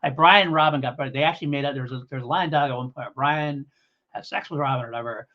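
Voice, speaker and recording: Male review co-host: conversational, meandering explainer, agreeable, average pitch, somewhat muffled recording. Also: racing. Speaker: podcast co-host. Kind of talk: casual audio drama review.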